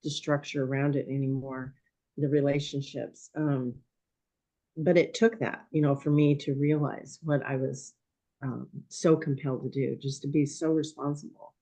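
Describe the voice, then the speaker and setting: Hoarse woman in her sixties, reflective. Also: extremely low pitch, little pitch movement, normal speed, warm tone. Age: 60s. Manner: thoughtful, hesitant with frequent ums. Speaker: podcast guest. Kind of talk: reflective conversation.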